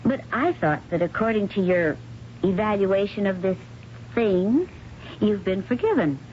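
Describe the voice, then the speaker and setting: A woman's voice: medium pitch, expressive, measured pace, natural voice. A woman playing a determined, practical and protective wife in a radio drama, firm and coaxing. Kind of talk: 1970s radio drama acting. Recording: muffled, slightly noisy 1970s radio broadcast.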